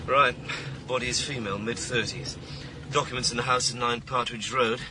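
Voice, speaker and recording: An actor playing a brisk, matter-of-fact police officer giving a report in a radio crime drama. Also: male voice, medium pitch, fairly even intonation, natural voice. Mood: businesslike. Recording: loud outdoor street and vehicle noise.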